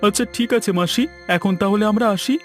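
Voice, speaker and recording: A man's voice: high-pitched, a little fast, soft background music. A man voicing a deferential village neighbour in a cartoon. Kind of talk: Bengali cartoon story dubbing.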